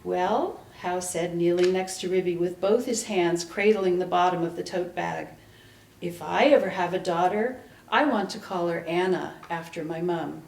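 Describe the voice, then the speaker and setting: A woman reads a story aloud as a dramatic performance. Her voice is slightly low, with a lot of fry in it. It is picked up from a distance in an echoing room. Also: moderate pace, darkish tone, a little hiss or hum. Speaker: reader in a literary ensemble. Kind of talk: dramatic reading of fiction. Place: library event room, live reading. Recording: roomy, distant microphone in a hall.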